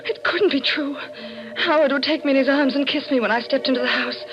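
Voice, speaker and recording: An actress playing a distraught, fearful wife in a 1940s radio mystery, with a breathless, melodramatic delivery. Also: female voice, high-pitched, fairly even intonation, brisk, over music, lightly acted. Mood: haunted, tense. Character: distraught young wife who finds her husband murdered. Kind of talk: 1940s radio mystery acting, first-person narration and dialogue. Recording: muffled old-time radio recording.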